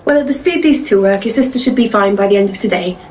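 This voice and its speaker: An actress playing an earnest, loyal assistant to ghost hunters in a comic audio play. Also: female voice, mid-range voice, animated, fast talker, darkish tone, a little hiss or hum, lightly acted. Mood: confident. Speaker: actor in an audio comedy drama.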